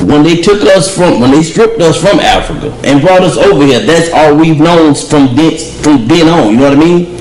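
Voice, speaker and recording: A man speaking in a measured, lecturing way during a casual discussion. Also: expressive, darkish tone, reverberant, slight background noise, poor audio. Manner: measured, lecturing, drops lower when explaining.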